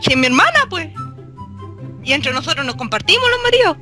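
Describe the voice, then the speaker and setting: A woman's voice: high voice, very animated, brisk. A woman voicing an indignant farmer's wife with a Chilean accent, scolding on a prank phone call. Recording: music behind the voice.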